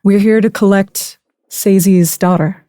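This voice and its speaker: A woman's voice: medium pitch, fairly even intonation, unhurried, mellow, no echo, clean studio sound, close to an everyday voice. A woman playing a level-headed, commanding female warrior in a fantasy game, decisive and matter-of-fact.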